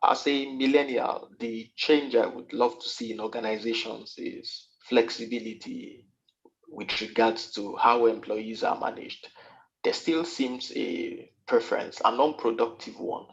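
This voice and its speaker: Man, low gravelly voice, formal, lo-fi recording. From Nigeria. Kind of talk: short recorded statement. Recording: dull lo-fi sound.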